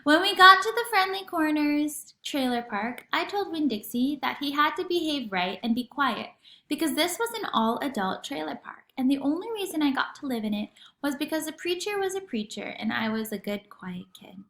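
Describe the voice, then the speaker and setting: A woman reading a children's story aloud in an expressive, teacherly way, acting out the dialogue. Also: high voice, moderate pace, echoey room. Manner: animated, acts out dialogue.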